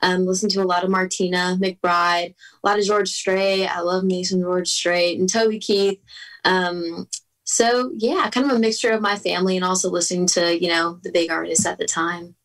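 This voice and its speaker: A woman's voice: fairly low pitch, fairly even intonation, normal speed, a bit bright, recorded from a distance, poor audio. Chatty young woman, a bit off-mic. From Southern California. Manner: chatty, upbeat, many fillers and small laughs. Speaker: interview guest, singer. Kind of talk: casual interview chat.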